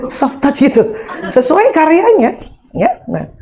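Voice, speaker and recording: A woman in her fifties giving a lively, humorous parenting seminar, chatting with her audience and quizzing them with questions. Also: high voice, slow pace. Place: seminar hall before an audience.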